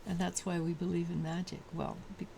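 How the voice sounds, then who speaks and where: A woman with a low voice giving a daily tarot reading, halting and full of restarts. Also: flat delivery, vocal fry, warm tone, slight background noise. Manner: halting, many restarts and fillers. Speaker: tarot reader.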